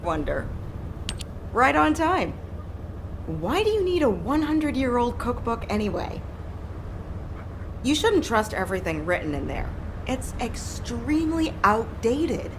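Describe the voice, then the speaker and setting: Casting: caring, no-nonsense female game ally, highish animated voice, rumbling noise behind. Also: measured pace, long pauses. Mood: concerned, chiding. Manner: cautioning, matter-of-fact. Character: sensible, caring female colleague who gently scolds. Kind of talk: video game voice acting.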